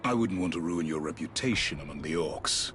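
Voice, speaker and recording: Determined warrior, lowish voice, lively intonation, terse, dry, game cutscene. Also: male voice, fairly quick, soft background music. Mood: resolute. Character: dry, resolute male warrior, calmly determined. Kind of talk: understated video game hero acting. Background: faint game score.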